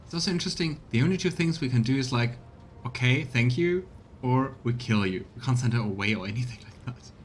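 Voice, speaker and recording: A man's voice: medium pitch, lively intonation, fairly quick, a little hiss or hum. A man giving casual let's play commentary and reading game dialogue aloud, with exasperated, sarcastic asides.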